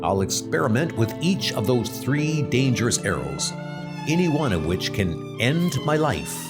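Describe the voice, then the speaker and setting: A man playing an earnest, grimly determined archer superhero in a comic book dub, with deliberate, dramatic delivery. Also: medium pitch, sing-song. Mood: grim resolve. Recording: loud music under the voice.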